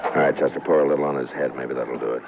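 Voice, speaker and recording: An actor playing a stern, weary Western marshal with a terse, grave delivery in an old radio drama. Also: male voice, fairly even intonation, rapid-fire. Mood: concerned, steady. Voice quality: very deep, gravelly. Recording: tinny, noisy old radio recording.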